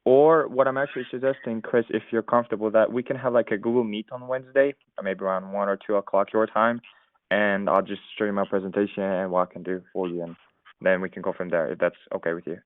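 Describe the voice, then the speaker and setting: Low smooth man on a tinny phone line. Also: fairly even intonation, quick pace, no room sound. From New York, USA. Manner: smooth, polite and steering. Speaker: cold caller. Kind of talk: recorded sales phone call.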